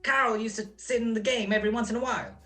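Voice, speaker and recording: A woman's voice: on the high side, fairly even intonation, racing, a bit bright, soft background music, close to an everyday voice. A woman playing a nervous male stool pigeon in a radio crime drama, stammering, slangy and pleading. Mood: anxious, confiding. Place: recorded at home over a video call.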